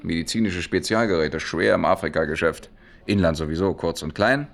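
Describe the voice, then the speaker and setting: Blunt, gravelly, low-voiced streetwise man. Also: a little fast. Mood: confiding, pushy. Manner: blunt, colloquial, wry. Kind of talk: German radio play dialogue.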